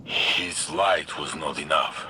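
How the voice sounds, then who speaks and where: An actor playing a noble, grave veteran warrior in a video game, speaking formally with a Russian accent. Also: male voice, fairly even intonation, bright, forceful tone, slight background noise, heavily acted, poor audio. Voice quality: deep. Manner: formal, deliberate phrasing.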